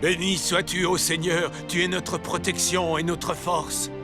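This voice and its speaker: A man's voice: sits high, little pitch movement, unhurried, loud music behind the voice. An actor playing a pious old patriarch in a children's Bible cartoon, solemn, fatherly and reverent.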